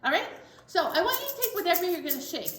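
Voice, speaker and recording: A woman with a high, very lively voice chats to small children as a storytime host. She is encouraging and casual, heard a little far off in an echoey room. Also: fast talker. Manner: chatty, encouraging. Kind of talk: casual chat addressed to young children. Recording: echoey, distant, noisy room.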